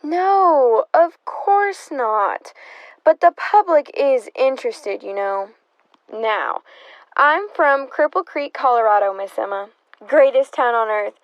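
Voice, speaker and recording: A nosy, slangy frontier newspaperwoman in an audio drama, played by a young woman. She is bold, breezy and pushy, with a high voice. The sound is close and free of room echo. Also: animated, slow pace, dull audio, full character voice. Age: young adult. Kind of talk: stage play acting in an audio drama.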